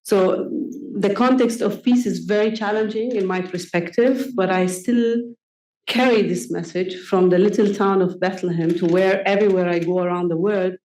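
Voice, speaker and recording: A young adult woman from Palestine, speaking on a conference panel in an earnest, measured way with frequent pauses and ums. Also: lowish voice, mellow. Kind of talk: panel discussion answer. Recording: echoey conference room.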